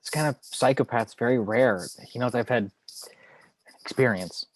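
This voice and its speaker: A man playing a weary, reluctant former crime investigator in an understated, measured script read. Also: medium pitch, a little hiss or hum, lightly acted, low-quality recording. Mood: reassuring. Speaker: actor in a table read.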